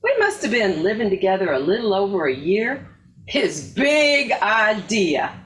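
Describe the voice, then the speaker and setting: Female actor as scornful, combative wife, sardonic stage monologue, deliberate slow delivery, animated high pitch. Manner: sardonic, emphatic. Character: scornful, combative wife airing old grievances. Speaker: actor in a readers theater performance. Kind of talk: dramatic monologue reading.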